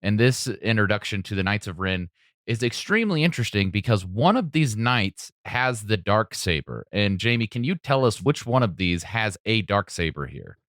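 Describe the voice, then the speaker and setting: A man's voice: fairly low pitch, big pitch swings, normal speed, a bit bright, no echo, clean studio sound. A man hosting a casual podcast discussion, speaking conversationally. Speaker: podcast host.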